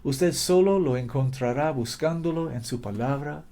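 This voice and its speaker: A man's voice: medium pitch, moderate pace, dark tone. A man preaching a fervent sermon in a language that is not his native one, exhorting listeners with repetition and occasional slips. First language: not Spanish.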